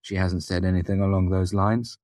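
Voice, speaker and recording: A man reads the lines of a young student hero in an audiobook, wry and guarded. His talk is measured, lifted above a narrating pitch, with a very dry sound. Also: level tone, a little mellow, no room sound, close to an everyday voice. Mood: defensive.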